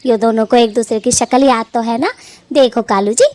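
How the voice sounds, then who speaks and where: A woman's voice: on the high side, steady tone, normal speed, darkish tone, no room sound, close to an everyday voice. A woman voicing a fond, scolding, matchmaking elder sister bird in a cartoon story, with a homely, bossy, nagging manner. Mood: eager. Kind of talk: animated cartoon story dialogue.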